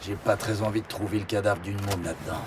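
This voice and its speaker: An actor playing a foul-mouthed, streetwise police sergeant, mocking and a little uneasy, in a gritty audio drama. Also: male voice, fairly low pitch, fairly even intonation, fast, background noise, natural voice. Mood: uneasy, wary.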